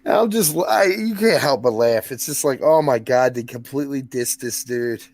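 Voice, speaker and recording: A New Zealand man hosting a casual podcast in a mid-pitched voice. He rambles through long stories, stops and restarts often, and laughs at what he is describing. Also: lively intonation, moderate pace, darkish tone. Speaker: podcast host.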